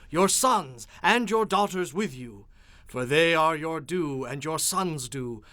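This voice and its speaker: A man's voice: on the high side, fairly bright tone, no room sound. A man playing a stern, commanding prophet in a dramatized Bible audio production, speaking in a declamatory, authoritative way.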